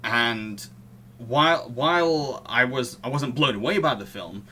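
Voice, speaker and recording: Bright-voiced young man hosting a podcast. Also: mid-range voice, measured pace. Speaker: podcast host. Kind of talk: film discussion, partly read-out plot summary.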